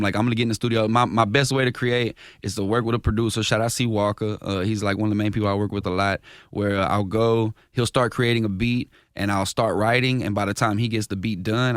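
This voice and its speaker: Fast-talking rapper, slangy. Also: male voice, dry recording, studio quality. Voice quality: higher-range voice. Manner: animated, slangy, repeats himself. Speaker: rapper guest. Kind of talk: casual interview chat.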